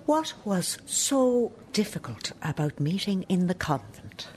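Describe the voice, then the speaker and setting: An actress with an Irish accent playing a stern, bitter, defensive older nun in a radio play, sounding accusing and sanctimonious. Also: female voice, medium pitch, big pitch swings, unhurried, a little mellow. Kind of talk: radio play acting, monologue and dialogue.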